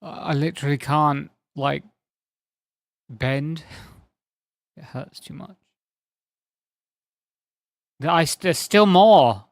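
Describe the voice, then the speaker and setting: A man with a fairly high voice drawls through a casual game stream, rambling and chatting with viewers by name. His voice sounds slightly worn, as if he is starting to lose it. The sound is dry and close, with faint music playing underneath. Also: slow pace, long pauses, no room sound. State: slightly strained, losing his voice. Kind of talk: casual live-stream chat while gaming.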